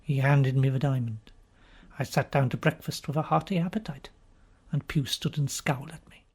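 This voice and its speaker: A man's voice: mid-range voice, steady tone, normal speed, slightly rough. A man reading scripted story narration as an audiobook narrator.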